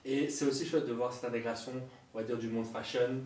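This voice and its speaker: A man's voice: medium pitch, fairly even intonation, a little fast, a little mellow. An easygoing man hosting a casual interview, his questions slangy and a little rambling. He sits back from the mic, and the sneaker store gives him a strong, roomy echo over a faint hiss. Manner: easygoing, slangy, thinks aloud. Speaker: interviewer host. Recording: echoey store from far off.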